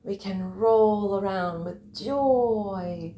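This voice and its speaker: Female guided-meditation narrator: soft-edged mellow tone, unhurried short phrases, roomy echoing recording. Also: mid-range voice, highs cut off. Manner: unhurried, short separated phrases, breathy emphasis. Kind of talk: guided visualization, meditative first-person narration.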